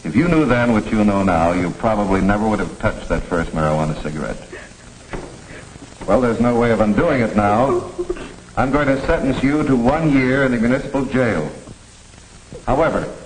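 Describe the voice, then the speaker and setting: An American man playing a stern but fair municipal judge in a 1950s educational film, speaking formally and with measured authority. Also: lowish voice, lively intonation, natural voice. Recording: noisy old 1950s film soundtrack.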